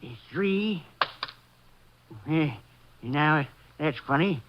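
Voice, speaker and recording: An actor playing a cagey, penniless old war veteran with a Texan accent in a 1950s radio drama. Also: male voice, on the high side, lively intonation, slow pace, noisy background. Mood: wheedling, cantankerous. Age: older. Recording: muffled old-time radio recording.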